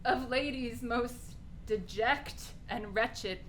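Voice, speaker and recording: A woman playing a gentle, heartbroken young noblewoman, lamenting in verse in a Shakespearean radio play. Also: on the high side, slight background noise. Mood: grieving. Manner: slow, lamenting verse delivery.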